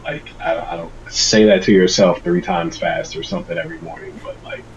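Male guest, very low voice, a bit stuffy, dull webcam audio, calm advice-giving tone. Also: a little fast, a little hiss or hum. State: congested from a cold. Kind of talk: casual video call chat. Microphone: video call webcam.

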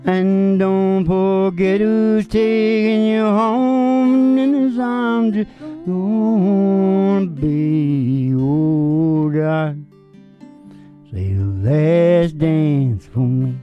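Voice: medium pitch, drawn-out words. A performer hosting a live acoustic country music show, with guitar in a lo-fi recording. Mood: singing. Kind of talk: casual joking stage banter between acoustic country songs.

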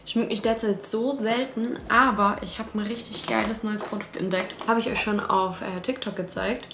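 A woman's voice: mid-range voice, steady tone, moderate pace, noisy background. A young woman in her twenties chatting casually to the camera in her apartment, eager and full of slang. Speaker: lifestyle vlogger. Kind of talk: casual vlog talk to camera. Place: bright modern apartment indoors. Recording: roomy apartment sound with music behind.

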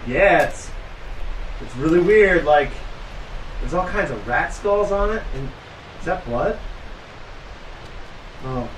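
A man acts as a cocky teenage boy in a horror audio drama. His voice sits fairly high, and he talks in brash, teasing slang. Noisy ambience surrounds him. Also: animated, slow pace, pauses often. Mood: curious, uneasy. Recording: noisy ambience behind dialogue.

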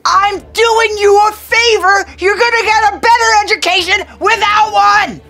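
A woman's voice: very high voice, measured pace, bright, forceful tone, exaggerated voice. A middle-aged woman playing a strict, cranky schoolteacher who hates phones, scolding and mocking her students in a scripted comedy skit. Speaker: teacher. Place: staged classroom set. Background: music bed.

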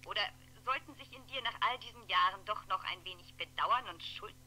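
Sharp, wry former lover. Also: female voice, mid-range voice. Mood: wry reproach. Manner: teasing, deliberate. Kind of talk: 1960s German radio play acting. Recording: noisy 1960s radio, telephone sound.

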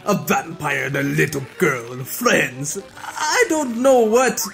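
A woman's voice: sits low, very animated, slow pace, creaky, fairly bright tone, faint music behind, full character voice. A woman voicing a haughty, evasive vampire count in a melodramatic cartoon dub. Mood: dismissive. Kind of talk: cartoon fan dub acting.

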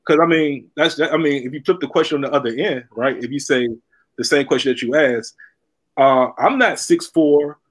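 Husky-voiced man chatting on a panel. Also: on the high side, moderate pace. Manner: rambling, repeats phrases for emphasis. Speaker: talk show guest, comedian. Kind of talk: casual panel chat. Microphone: video call.

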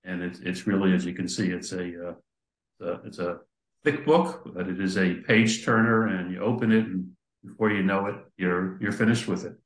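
A man's voice: low voice, steady tone, moderate pace, roomy sound, low-quality recording. An older man hosting an interview over a video call, giving a formal introduction with some fillers. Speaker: interview host. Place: office indoors. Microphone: computer mic on video call.

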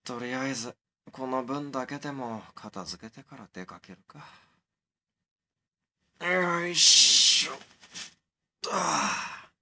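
A man's voice: medium pitch, sing-song, slow pace, pauses often, bright, forceful tone, full character voice. A man playing an easygoing young man in an audio drama, talking in a blunt, colloquial, muttering way. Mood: musing. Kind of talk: naturalistic audio drama dialogue.

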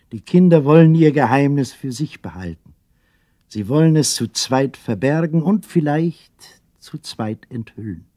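A man narrating a children's radio play as an expressive storyteller with scripted delivery. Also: mid-range voice, husky, dry recording, clean studio sound.

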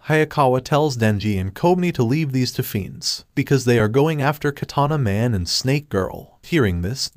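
Male narrator, medium pitch, scripted recap, steady plain delivery, dry close sound. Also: dry recording.

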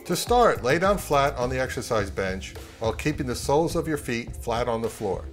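A middle-aged man giving scripted, tongue-in-cheek instructions to viewers in a measured, deadpan way. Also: medium pitch. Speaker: host of a hobby audio channel. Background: music.

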